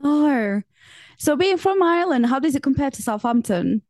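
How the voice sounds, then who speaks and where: A woman's voice: high voice, lively intonation, moderate pace, darkish tone, no echo. Portuguese-born woman host, friendly questions, dry close sound. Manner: friendly, asks several questions in a row, hesitant fillers. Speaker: interviewer, host. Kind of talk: casual interview chat.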